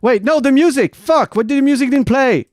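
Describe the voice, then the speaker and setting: A man rambling casually and informally to his livestream viewers, animated and loose in his wording. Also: very high-pitched, brisk, no room sound, clean studio sound. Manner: animated, laughs often. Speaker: variety streamer and host.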